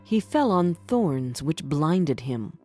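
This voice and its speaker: Slow, animated woman telling fairy tales. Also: low-pitched, soft background music. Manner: expressive, deliberate storytelling. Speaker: storyteller. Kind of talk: scripted fairy-tale narration for children.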